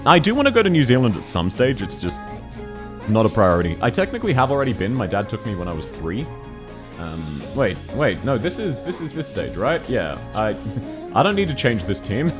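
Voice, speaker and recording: A man with a thick Australian accent, chatting casually and quickly as a game streamer, laughing often while reading chat aloud. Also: mid-range voice. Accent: Australian, unusual variety. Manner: fast-talking, laughs often, animated.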